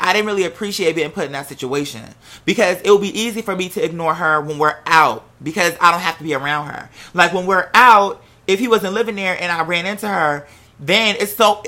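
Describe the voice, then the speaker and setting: Bright-voiced American casual storyteller, candid and animated. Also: medium pitch. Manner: acts out quoted remarks while telling.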